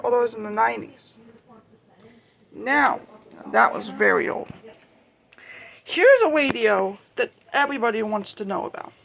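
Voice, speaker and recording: Someone casually showing off a collection in a home-made vlog, talking in a halting, rambling way. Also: mid-range voice, expressive, creaky, a bit bright, low-quality recording. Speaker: video creator showing a radio collection. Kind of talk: casual show-and-tell vlog.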